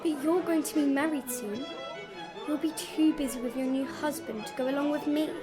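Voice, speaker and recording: A woman's voice: high-pitched, normal speed, music in the background, close to an everyday voice. A woman playing a pert, sulky fifteen-year-old Victorian younger sister in a theatrical period drama. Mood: sulky, pleading.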